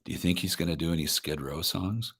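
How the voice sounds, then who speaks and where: A rambling man with a fairly low voice, chatting casually about concerts. He jokes a lot, restarts his sentences and talks over himself. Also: brisk, a bit bright, slightly rough. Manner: rambling, self-interrupting, joking. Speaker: guest.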